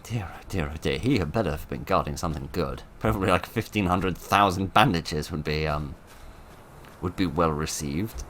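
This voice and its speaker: A man commentating his horror game playthrough in a chatty, wry way, with a voice that is getting hoarse. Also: low-pitched, moderate pace, a bit bright, a little hiss or hum. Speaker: gaming commentator. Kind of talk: let's play commentary.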